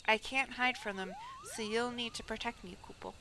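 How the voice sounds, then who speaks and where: A woman's voice: a little high, fairly even intonation, normal speed, bright, forceful tone, a little hiss or hum. A woman voicing a small, earnest moogle creature from a fantasy game, in an emphatic, declamatory way. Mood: urgent. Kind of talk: reading fantasy game dialogue aloud.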